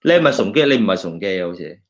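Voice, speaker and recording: A middle-aged man from Hong Kong with a Hong Kong accent and Cantonese as his first language, an engineering lecturer giving an informal, halting talk full of restarts. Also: sits low, sing-song, highs cut off. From China, raised in Hong Kong. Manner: halting, with many restarts, switching between English and Cantonese. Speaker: university lecturer, guest speaker. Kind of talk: informal talk presenting slides.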